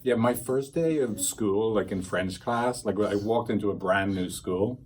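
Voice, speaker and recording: A man with a fairly low voice teaches a small group of therapists in a loose, rambling way. He keeps slipping into acted snippets of quoted conversation. Faint noise sits under the recording. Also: a little slow. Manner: rambling, animated, acts out quoted dialogue. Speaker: couples therapist trainer.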